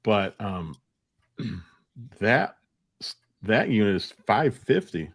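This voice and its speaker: A man's voice: on the low side, very animated, very slow, vocal fry, husky, no echo, studio quality. A man co-hosting a casual live-stream chat, speaking conversationally and relaying comments.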